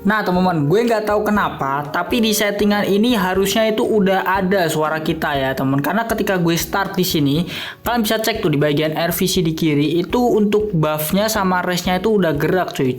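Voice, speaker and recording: A man's voice: high voice, a little fast. A young man hosts a casual tech tutorial. He talks informally to his viewers as friends, with faint music playing behind his voice. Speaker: tutorial host. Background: background music.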